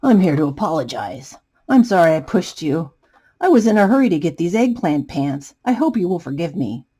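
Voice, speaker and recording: A woman voicing a gruff but contrite male eggplant, speaking in a deliberate, restrained way for a storybook. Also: on the low side, sing-song, dark tone. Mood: apologetic.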